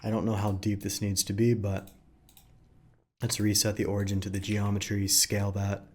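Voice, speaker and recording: A man with a fairly low voice, slightly raspy, talking through a software tutorial in an easygoing, think-aloud way. Keyboard typing clicks along under his speech. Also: normal speed. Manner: relaxed, thinks aloud while working, frequent fillers. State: slightly raspy from long recording sessions. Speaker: 3D modeling tutorial host. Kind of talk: casual screen-recorded software tutorial.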